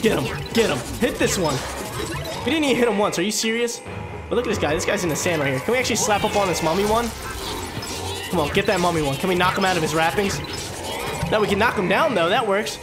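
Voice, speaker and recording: Young man, stuffy nose, headset mic, excited gaming commentary, game music behind. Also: high voice, fast talker. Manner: hyped, chatty, talks to viewers. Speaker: gaming channel host. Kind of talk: gameplay commentary.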